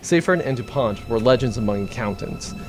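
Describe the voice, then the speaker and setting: A man's voice: mid-range voice, lively intonation, fairly quick, darkish tone, natural voice. A man playing a hapless, wry, complaining male accountant among space pirates in a comedic audio drama. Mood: wry, storytelling. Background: music.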